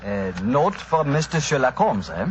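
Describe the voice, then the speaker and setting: A man's voice: mid-range voice, big pitch swings, natural voice. German-accented villain doctor, played by a man: formal, sinister, swooping intonation, muffled vintage radio sound. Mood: polite, obstructive. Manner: formal, measured, menacing. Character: polite, menacing German doctor, villainous accomplice. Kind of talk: 1940s radio drama acting. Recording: dull, noisy old radio recording.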